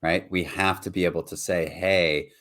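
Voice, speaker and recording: Podcast co-host, man, very deep low voice, earnest and reflective, conversational delivery. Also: steady tone, normal speed, a bit bright. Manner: earnest, reflective, frequent "right?" and "like". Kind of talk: casual earnest discussion.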